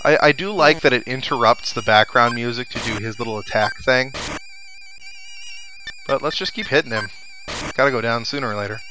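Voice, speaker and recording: A man's voice: mid-range voice, brisk, pauses often, poor audio. A man giving casual let's play commentary, thinking aloud to his viewers as he plays. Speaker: gaming video host. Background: video game music and sound effects.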